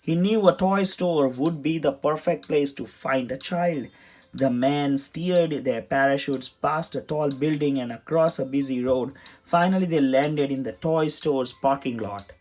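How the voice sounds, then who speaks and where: A man's voice: on the high side, a little slow, a little mellow, rough recording. A man narrating a children's storybook as a read-aloud. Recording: music behind the voice.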